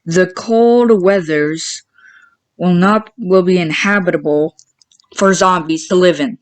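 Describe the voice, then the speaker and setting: A woman delivering a scripted emergency warning broadcast in a formal, impersonal, measured manner. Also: on the low side. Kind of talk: scripted emergency alert announcement.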